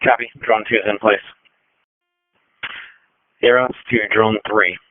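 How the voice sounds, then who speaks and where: Low, flat-voiced man on police radio. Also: a little slow, no echo. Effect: police two-way radio. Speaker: police air operations coordinator on radio. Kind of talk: radio dispatch traffic. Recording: narrowband, dull police radio transmission.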